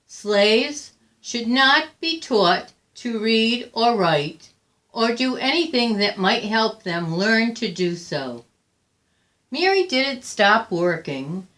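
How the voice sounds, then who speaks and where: A woman reading a children's picture book aloud with deliberate, expressive phrasing. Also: a little high. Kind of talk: read-aloud children's storytelling.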